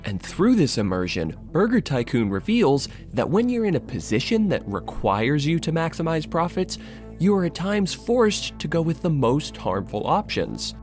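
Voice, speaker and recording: A man's voice: on the high side, big pitch swings, normal speed, darkish tone. A man narrating a scripted video essay in an emphatic, dramatic way. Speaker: video essayist and channel host. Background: music.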